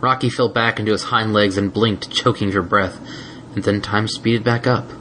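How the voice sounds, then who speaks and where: A man reading a fan-written story aloud in a steady storytelling manner. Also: lowish voice, moderate pace, a little hiss or hum. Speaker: reader. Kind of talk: fan fiction audiobook reading.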